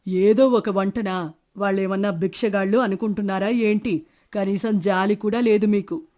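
A woman's voice: mid-range voice, steady tone, normal speed, a little mellow, close to an everyday voice. Woman playing a scheming, stingy village man. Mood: indignant. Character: scheming stingy middle-aged village man, shameless freeloader. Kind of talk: dramatised moral story narration.

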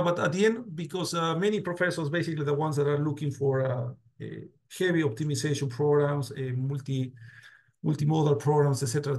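A man lecturing a class on a video call, restarting words and often checking "got it?". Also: a little high. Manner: many restarts, asks "got it?" and "do you agree?" often. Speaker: university lecturer.

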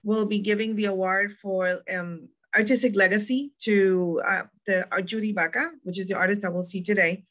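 A young woman with a Puerto Rican accent walks listeners through a museum tour. Her voice is bright and forward, at an ordinary middle pitch. She talks in a chatty, easygoing way, full of ums and quick restarts. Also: fairly even intonation. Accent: Puerto Rican Spanish. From Puerto Rico. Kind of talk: presenting a virtual museum tour.

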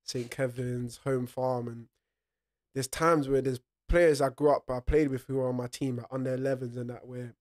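A young man from Ireland hosts a casual podcast chat, laid-back and slangy, tossing in quick reactions. His voice sits in an ordinary middle range, close on a desk mic in a small studio. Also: medium pitch, steady tone, darkish tone. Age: young adult. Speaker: podcast host. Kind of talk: casual interview. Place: small studio with wooden shelves. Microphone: desk-mounted podcast mic.

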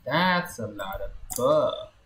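Low-voiced woman streaming a game, unhurried. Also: lively intonation, fairly bright tone. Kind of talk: casual playthrough commentary.

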